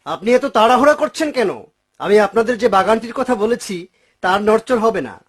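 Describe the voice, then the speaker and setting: A man playing a courteous, careful sheikh in a cartoon, speaking politely and in a measured way. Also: high-pitched, slightly rough. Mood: measured, concerned. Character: courteous, careful male sheikh selling his inherited garden. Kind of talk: dubbed cartoon dialogue.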